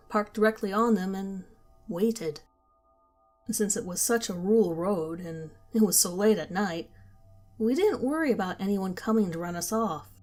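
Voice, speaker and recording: A woman's voice: mid-range voice, a little mellow. Woman narrating a horror story. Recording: faint background music.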